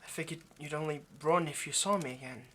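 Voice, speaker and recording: A man's voice: on the high side, fast, pushed and bright. A man playing a nervous, centuries-old vampire kidnapper in an intimate ASMR roleplay, hesitant, stammering and apologetic as he speaks to the listener. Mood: anxious apologetic. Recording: faint background noise.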